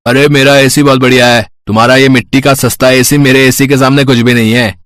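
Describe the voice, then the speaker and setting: A man's voice: mid-range voice, level tone, brisk, fairly bright tone, no echo, clean studio sound, natural voice. A man voicing a proud, jealous young son of a village headman in a moral story. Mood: boastful. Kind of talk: acted moral story dialogue.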